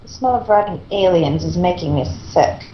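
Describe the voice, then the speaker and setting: A woman's voice: lowish voice, moderate pace, muffled recording. A woman doing amateur fan fiction narration and casual chat as part of a group reading. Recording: roomy echo, faint background noise.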